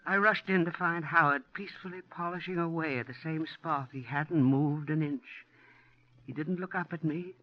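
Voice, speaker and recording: A woman's voice: deep voice, normal speed, lightly acted. An actress playing a genteel, motherly middle-aged woman who narrates her own ordeal in a 1940s radio drama. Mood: hushed recollection. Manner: genteel, motherly, growing frantic. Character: kindly widowed woman, mother of two servicemen, terrified. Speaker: narrator and lead character. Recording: muffled 1940s radio broadcast.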